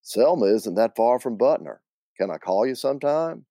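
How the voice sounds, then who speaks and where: A man's voice: mid-range voice, big pitch swings, moderate pace, dark tone, no room sound, clean studio sound. A man voicing an easygoing, flirty country fellow with a thick North Carolina accent, in a told story.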